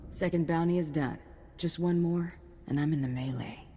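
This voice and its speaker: A woman playing a cool, tough alien bounty hunter in a video game, terse, deadpan and confident. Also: low-pitched, normal speed, big-room sound, slight background noise. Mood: businesslike.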